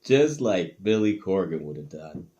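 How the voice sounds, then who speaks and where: A man's voice: low-pitched, unhurried, highs cut off, very noisy. Guy, swears a lot, teases himself, voice jumps up and down all over. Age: adult. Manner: animated, profane, self-mocking. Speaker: host. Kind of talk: casual commentary reacting to old tapes.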